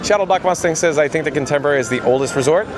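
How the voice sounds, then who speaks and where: A hoarse man with a raspy voice hosting a live theme park vlog outdoors, chatting and reading viewer comments aloud. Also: a little high, rapid-fire, crowd noise, noisy background. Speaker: livestream host.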